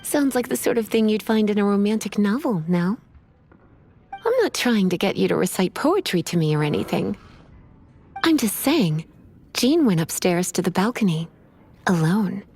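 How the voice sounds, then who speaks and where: A woman voicing a languid, sultry mage in a video game, teasing and lingering, over soft music. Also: mid-range voice, big pitch swings, moderate pace.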